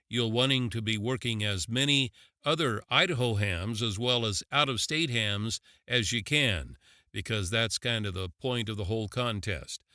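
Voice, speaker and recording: A man's voice: mid-range voice, bright, forceful tone, no echo, studio quality. A man giving an informational presentation in a folksy, plain-spoken, lightly joking way, posing questions and then answering them. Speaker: event presenter.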